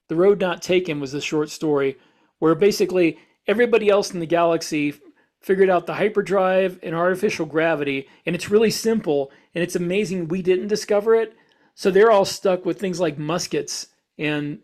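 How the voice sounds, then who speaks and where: A man's voice: a little high, darkish tone. A man talking casually as a podcast host, explaining ideas and thinking aloud as he goes. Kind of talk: casual discussion.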